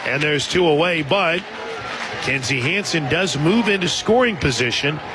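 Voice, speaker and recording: An American man calling softball play-by-play live on the radio. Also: fairly high pitch, animated, moderate pace. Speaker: play-by-play announcer. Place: outdoor softball stadium broadcast booth. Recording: loud crowd and music behind broadcast voice. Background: crowd noise and stadium music.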